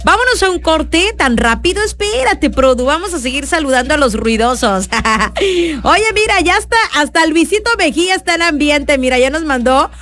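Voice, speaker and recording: A woman radio DJ with a Mexican Spanish accent, slightly hoarse, chatting casually and greeting listeners between songs. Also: high-pitched, expressive, normal speed, a bit bright. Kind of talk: lively radio show chat. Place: radio studio booth.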